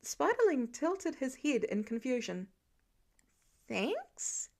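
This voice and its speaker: A woman reading fan fiction aloud as an expressive, wry storyteller. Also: a little high, moderate pace. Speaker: amateur audiobook narrator. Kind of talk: scripted fiction narration.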